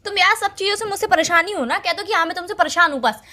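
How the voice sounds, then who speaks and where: A woman's voice: very high-pitched, quick pace, sharp tone. A young woman playing an aggrieved girlfriend in a lovers' quarrel, nagging and plaintive as she rattles off complaints. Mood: sulky, wounded. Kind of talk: heated relationship argument, recorded-call skit.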